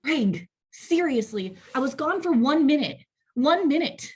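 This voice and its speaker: A woman playing a bitter, jealous, sharp-tongued wife who is curt and scornful, in a screenplay table read. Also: sits high, sing-song, a little slow. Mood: angry, fed up.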